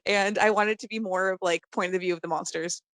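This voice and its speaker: A woman's voice: mid-range voice, steady tone, quick pace, a bit bright, dry recording. Giggly woman chatting casually, dry sound. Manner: giggly, informal, peppered with "like". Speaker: podcast guest. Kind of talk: casual chat.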